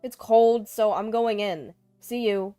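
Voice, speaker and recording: A woman's voice: medium pitch, animated, normal speed, darkish tone, dry recording, soft background music, close to an everyday voice. A woman voicing a callous, selfish husband making dismissive, whiny excuses.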